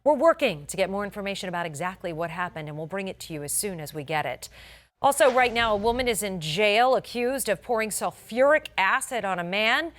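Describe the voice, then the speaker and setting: A woman's voice: medium pitch, lively intonation, a little fast, fairly bright tone. A woman with an American accent anchoring a scripted local TV newscast in a polished way, stressing key words. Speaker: television news anchor.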